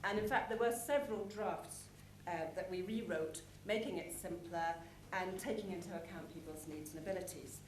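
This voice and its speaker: A woman giving a prepared conference presentation, explaining things in measured phrases with deliberate pauses. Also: lowish voice, fairly even intonation. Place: large conference hall with an audience. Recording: echoey conference hall, clear background noise.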